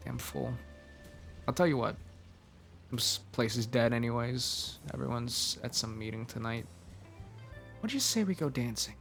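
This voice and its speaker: Guy putting on a tired gruff tough guy, gravelly voice, blunt and jokey-menacing, faint music behind. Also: medium pitch. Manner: world-weary, blunt, mock-threatening. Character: weary, gruff man, jaded and teasingly menacing. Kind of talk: audio roleplay.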